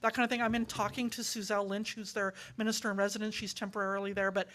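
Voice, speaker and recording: A woman's voice: mid-range voice, level tone, fast, a bit bright, slight background noise. An older woman leading a church town hall Q&A, chatty and upbeat, recorded from a distance in a sanctuary. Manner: chatty and upbeat, laughs often. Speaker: meeting leader at a congregation.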